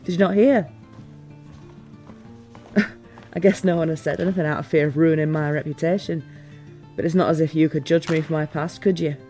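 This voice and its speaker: A woman playing a teasing, playful fantasy ruler in a scripted audio roleplay, with formal, expressive phrasing. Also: sits low, brisk, long pauses, soft background music. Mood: reflective, candid.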